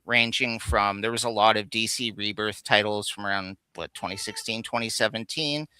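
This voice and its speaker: A man's voice: fairly low pitch, fairly even intonation, normal speed, sharp tone, smooth, dry recording, poor audio. A man co-hosting a casual geek livestream, rambling enthusiastically as he shows things off. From Canada. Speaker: co-host of a geek culture livestream. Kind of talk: casual chat, showing off finds.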